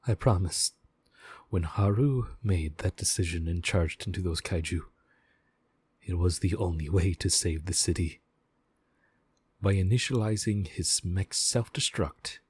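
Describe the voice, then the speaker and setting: A man voicing a gentle, devoted sentient mech AI in a slow, measured audio roleplay, speaking directly to the pilot. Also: low voice, breathy edge, full character voice. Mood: soft, consoling. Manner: measured, deliberate, reassuring, with pauses. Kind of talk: slow scripted audio roleplay, addressing the listener.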